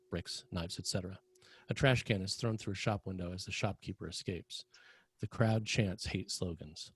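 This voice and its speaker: Male table-read narrator: deeper, husky, dry sound, scripted delivery of stage directions. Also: dry recording.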